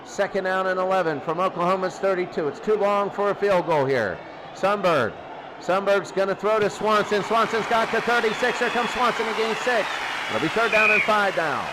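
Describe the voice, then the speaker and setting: Male play-by-play announcer, high voice, excitable and informal, repeating short phrases over clearly audible background noise. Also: normal speed, a crowd in the background. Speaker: play-by-play commentator. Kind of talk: informal play-by-play football commentary. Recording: noisy, steady background rumble.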